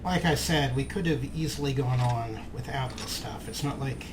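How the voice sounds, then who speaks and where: A man's voice: mid-range voice, normal speed. A man hosting a let's play with casual gameplay commentary. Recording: clear background noise from game.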